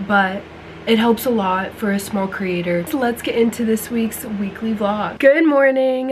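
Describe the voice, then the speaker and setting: A young woman chats to camera in a bubbly, rambling way, her voice hoarse as she gets over losing it. She keeps saying "like" and "you guys". Her pitch sits in the middle, and faint noise hums behind her. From United States. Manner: chatty, bubbly, heavy use of "like" and "you guys". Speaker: lifestyle vlogger. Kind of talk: casual chat to camera.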